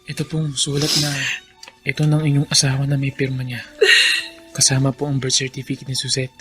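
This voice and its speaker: An actor playing an earnest, respectful confidant in a Filipino radio drama, with a solemn dramatic delivery. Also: male voice, medium pitch, completely flat delivery, brisk, fairly bright tone, soft background music, close to an everyday voice.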